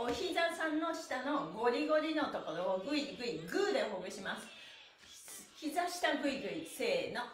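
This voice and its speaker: A middle-aged woman leading a stretching class, cheerful and encouraging, with energetic sing-song calls and rhythmic chants. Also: sits high. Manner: energetic, moving while talking. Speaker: stretching instructor and pantomime performer. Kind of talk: live exercise class with rhythmic counted chants. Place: small indoor room, seated on a mat. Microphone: camera a few meters away. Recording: roomy echo, distant microphone.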